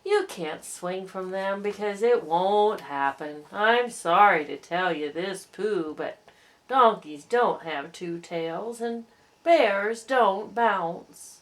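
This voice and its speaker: A woman's voice: mid-range voice, slow, natural voice. A woman voicing a gloomy old gray male donkey from a storybook, mournful and resigned in manner.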